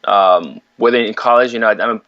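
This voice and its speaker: A man from Africa talking as a podcast guest about fitness coaching, relaxed and saying "you know" a lot. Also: lowish voice, quick pace, muffled recording. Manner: relaxed, laughs lightly, says "you know" often. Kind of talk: casual interview chat.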